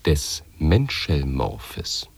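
Swooping, theatrical absurdist reciter. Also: male voice, a bass voice, very animated, drawn-out words, no echo, heavily acted. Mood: portentous. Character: theatrical man intoning absurd mock-learned verse. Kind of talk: experimental sound-poetry radio drama.

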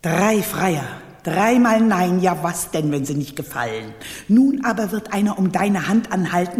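A woman's voice: medium pitch, darkish tone. An actress playing a bossy, scheming old witch of a royal grandmother in a radio play, imperious and scolding. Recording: long reverberant hall.

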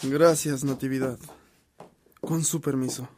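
An actor playing a priest's devoted, affectionate old friend in a radio drama, warm and urging. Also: male voice, medium pitch, steady tone, measured pace, noisy background, natural voice.

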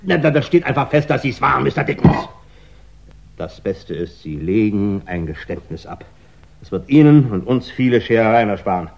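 Blustering police inspector, very animated. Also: male voice, on the low side, normal speed, vocal fry, slight background noise. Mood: accusing, impatient. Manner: emphatic, officious, insinuating. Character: blustering, smug, accusing English police inspector. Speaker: actor in a radio crime play. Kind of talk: 1960s German radio drama acting. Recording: dull 1960s radio recording.

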